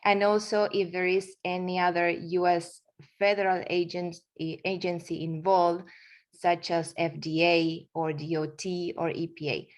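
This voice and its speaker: Argentine woman, low voice, halting talk. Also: level tone. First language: Spanish. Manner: halting, frequent fillers and restarts. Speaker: guest speaker. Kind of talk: webinar presentation.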